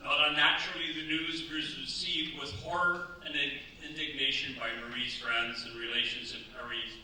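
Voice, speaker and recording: A man acting as the formal narrator of a staged radio play, telling the story deliberately. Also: fairly high pitch, fairly even intonation, bright, forceful tone. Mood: grave, dramatic. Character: formal storytelling narrator of a historical crime tragedy. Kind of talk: scripted dramatic narration. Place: live theatre stage. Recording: echoey stage, distant mic, faint noise.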